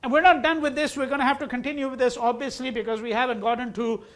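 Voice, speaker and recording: A man's voice: extremely high pitch, steady tone, fast talker, a bit bright. A male university lecturer whose first language is Hindi, giving a classroom lecture. He repeats key words for emphasis and asks and answers his own questions. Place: lecture hall.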